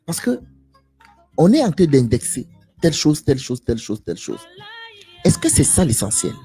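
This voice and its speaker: A man's voice: on the high side, wildly swooping pitch, normal speed, dark tone, soft background music. A man giving an impassioned live monologue to viewers, emphatic and preachy, repeating phrases and asking rhetorical questions. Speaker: live stream speaker.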